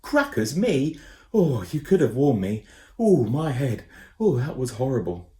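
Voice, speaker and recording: A man's voice: medium pitch, sing-song, a little slow, darkish tone, voice pushed up. A man voicing a timid, flustered young boy in a storybook reading, stammering and panicky. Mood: indignant. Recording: roomy echo.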